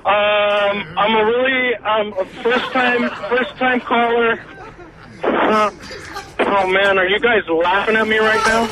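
A man's voice: high-pitched, steady tone, slow pace, noisy background. A man making a prank call to a radio show, stammering and acting like a nervous first-time caller.

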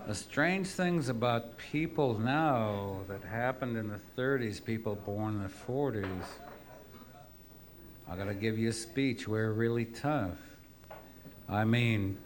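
A man reading his poems aloud to an audience, drunk, gruff and profane, rambling between pieces. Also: fairly low pitch. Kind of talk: live poetry reading with rambling asides.

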